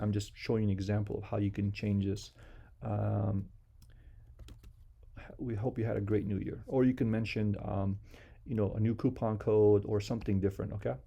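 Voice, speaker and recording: Guy doing a casual software tutorial, fry in his voice, kinda mellow, repeats simple steps. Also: lowish voice. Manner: simple, repetitive step-by-step instruction. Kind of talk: casual unscripted screen-share walkthrough.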